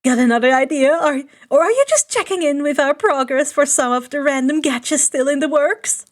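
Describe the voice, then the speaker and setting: An excitable teenage girl inventor, acted out by a woman reading a story. Her voice is very high and bubbly, full of eager, teasing enthusiasm. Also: a little fast, lightly acted.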